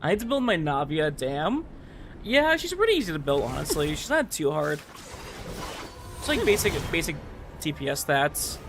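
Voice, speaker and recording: Lively higher-voiced man streaming a game. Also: normal speed. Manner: excitable, informal, slangy. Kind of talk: casual livestream commentary and chat. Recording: game music behind the voice. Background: video game music.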